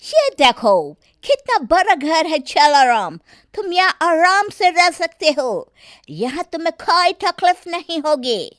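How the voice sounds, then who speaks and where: A woman acts a helpful foreign gentleman in a storybook comedy. He is reassuring and matter-of-fact, with a bright, unhurried voice. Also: very high-pitched, very animated, dry recording, studio quality, full character voice. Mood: obliging. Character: obliging foreign man showing off a house.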